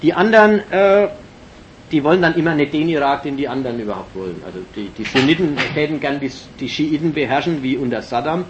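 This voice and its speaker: Male lecturer, slightly high voice, emphatic and analytical, muffled lo-fi tape with faint hiss. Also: fast. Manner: emphatic, analytical, with many fillers and restarts. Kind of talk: political lecture. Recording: dull lo-fi recording with faint hiss.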